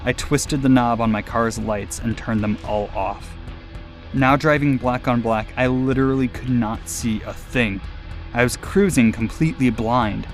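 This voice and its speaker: A man's voice: mid-range voice, a little slow, soft background music. A man narrating a personal scary story as a scripted dramatic reading.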